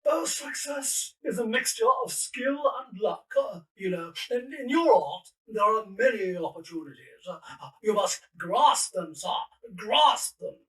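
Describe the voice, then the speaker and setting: A man's voice: high-pitched, lively intonation, a little slow, fairly bright tone, far from the mic, rough recording. A man playing a fussy, irritable old English father who hems, haws and repeats himself in an audio drama. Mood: avuncular, rambling. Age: older.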